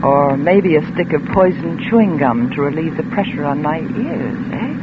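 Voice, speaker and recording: An actress playing a sardonic, suspicious insurance investigator in a vintage radio drama, with dry, clipped delivery. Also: female voice, low-pitched, animated, moderate pace. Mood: cool suspicion. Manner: dry, wry, clipped retorts. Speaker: radio actor and first-person narrator. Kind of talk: 1940s-50s radio drama acting. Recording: noisy, tinny old radio recording.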